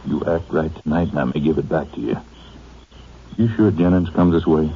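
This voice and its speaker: Radio-play villain: very low American voice, curt and bullying, muffled noisy vintage recording. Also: male voice, normal speed, creaky. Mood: menacing. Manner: curt, threatening, commanding. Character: menacing, bullying male thug and drug supplier. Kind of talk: 1950s American radio drama acting.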